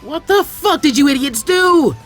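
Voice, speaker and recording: A brash teenage ninja boy, acted out by a man in an animated story reading, pitched high and swinging widely. He is boisterous, cocky and hot-headed, over background music. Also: normal speed. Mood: loud outrage.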